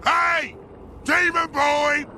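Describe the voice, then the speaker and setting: An actor voicing a brutish, battle-hungry ork warboss in a video game, with a gravelly roar and crude, taunting slang. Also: male voice, very high-pitched, little pitch movement, very slow, sharp tone, shouting, slight background noise, exaggerated voice. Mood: belligerent. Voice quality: gravelly roaring. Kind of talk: video game cutscene acting.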